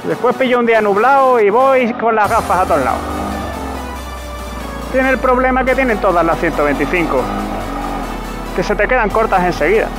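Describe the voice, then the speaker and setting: Chatty high-voiced man on a motorbike. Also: expressive, moderate pace, pauses often, over music. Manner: chatty, joking, laughs at himself. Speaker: motovlogger. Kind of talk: casual motorcycle review while riding. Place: outdoors riding a motorcycle in city traffic.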